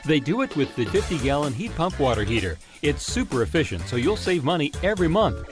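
A man's voice: a little high, very animated, fairly quick, music in the background. A man reading a radio commercial with upbeat, playful delivery. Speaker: commercial announcer. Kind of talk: radio ad read.

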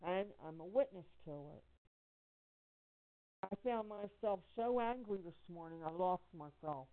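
A woman speaking as a caller on a phone conference, giving impassioned personal testimony in a halting, emphatic way, repeating phrases for weight. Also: lowish voice, lively intonation. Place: telephone conference call. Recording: narrow tinny phone-line audio.

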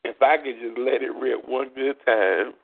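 A man's voice: medium pitch, moderate pace, gravelly. A man preaching at a live church service, praying in rhythmic repeated phrases and joking with the congregation, his voice stuffed up. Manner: chatty and joking between songs. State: congested, stopped up. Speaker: prophet and preacher. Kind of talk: fervent live church prayer and exhortation. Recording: tinny phone-like livestream audio.

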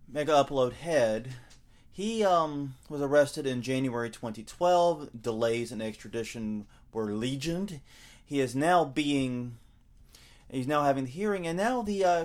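A man co-hosting a casual podcast, talking things over with frequent fillers and self-corrections. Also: mid-range voice. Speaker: podcast co-host.

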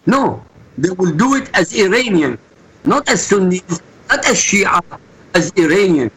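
A man's voice: high-pitched, sing-song, measured pace, fairly bright tone, husky, rough recording. A man from Iraq, a guest answering interview questions in an emphatic, insistent way. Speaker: guest commentator.

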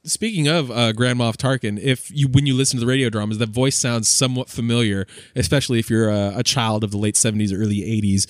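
A man's voice: medium pitch, steady tone, fast, few pauses, no room sound, clean studio sound. A man hosting a podcast, talking conversationally through a pop culture discussion. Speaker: podcast host.

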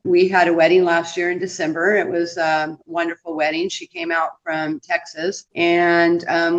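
A woman speaking as a tour guide in a casual interview, conversational and full of small anecdotes. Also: on the low side. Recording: dull lo-fi audio.

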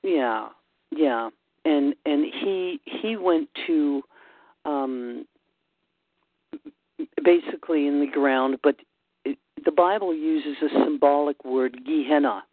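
A woman's voice: deep voice, no echo. Woman teaching the Bible over a tinny line. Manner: unhurried, thinks aloud with many ums. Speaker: host. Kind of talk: informal Bible study chat. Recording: dry, tinny phone-like line.

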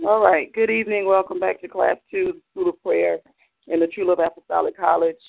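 A man's voice: sits high, fairly even intonation, a little fast, creaky. Congested man teaching, over a phone line. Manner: loose, filler-heavy teaching. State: congested from allergies. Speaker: pastor teaching a prayer class. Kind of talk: church class lecture with prayer. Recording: narrow phone-line sound on a talk stream.

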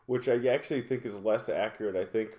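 A man with an Upper Midwest accent and a fairly low voice talks casually at a table. He rambles, restarts sentences and corrects himself as he goes. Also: a little fast, darkish tone. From Minnesota. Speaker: podcast co-host. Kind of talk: casual chat. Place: around a table indoors.

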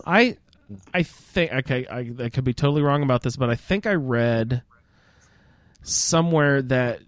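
A man's voice: medium pitch, normal speed, no room sound, clean studio sound. A man hosting a casual, informal roundtable discussion about a video game. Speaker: podcast host.

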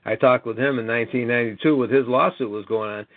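A man's voice: medium pitch, steady tone, quick pace, no room sound. Older American man, tinny phone line. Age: late 60s. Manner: emphatic, repeatedly checking with "okay?". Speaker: caller. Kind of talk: rambling earnest conference-call talk. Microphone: telephone.

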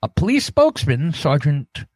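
A man co-hosting a casual comedy show, haltingly reading out the news and repeating himself. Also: fairly high pitch, big pitch swings, darkish tone, no room sound, studio quality.

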